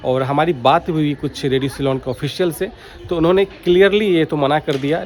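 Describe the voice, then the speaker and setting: A man's voice: mid-range voice, a little mellow. A man giving informal, explanatory vlog commentary, going back over his points as he speaks. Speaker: radio hobby vlogger. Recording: clearly noisy background.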